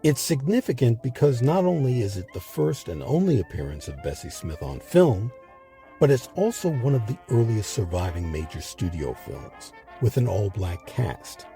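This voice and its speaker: A man hosting a history series, reading scripted documentary narration with measured, emphatic phrasing. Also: mid-range voice, big pitch swings, dark tone, soft background music.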